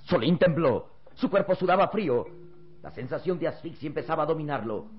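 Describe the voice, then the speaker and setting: A man's voice: a little high, expressive, a little fast. A man narrating a radio serial in an emphatic, theatrical style full of suspense. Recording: dull old radio recording with music.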